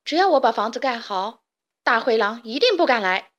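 A woman voicing the middle of three little pigs, a stubborn, eager piglet who talks quickly. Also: on the high side, expressive, a bit bright, muffled recording.

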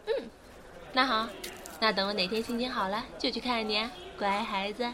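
A woman's voice: on the high side, fairly even intonation, moderate pace, crowd noise, natural voice. An actress playing a feisty, teasing young girlfriend in a Mandarin radio drama. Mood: teasing threat. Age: young adult. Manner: teasing, mock-threatening. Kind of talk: Mandarin radio drama acting with first-person narration. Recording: music behind the voice.

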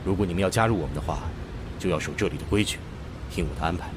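A man playing the calm, authoritative leader of a survivor convoy in a zombie audio drama, giving orders. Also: sits low, very fast, noisy background, natural voice. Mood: calm, commanding. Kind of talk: fan audio drama acting.

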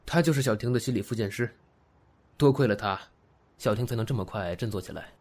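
一名男配音演员扮演一位护妹心切、沉稳内敛的男人。. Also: male voice, on the low side, quick pace, darkish tone. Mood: reserved. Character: reserved protective older brother, businessman.

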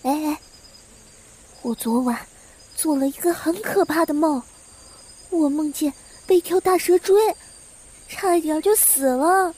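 A woman's voice: sits high, unhurried, pauses often, a little mellow, a little hiss or hum. A woman playing a naive, fearful young servant girl in a costume radio drama. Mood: uneasy.

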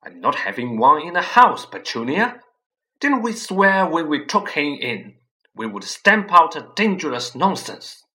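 A man's voice: mid-range voice, very animated, takes it slowly, sharp tone, full character voice. A man voicing a hot-tempered, bullying middle-aged uncle in a storybook reading, barking and sputtering. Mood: anxious.

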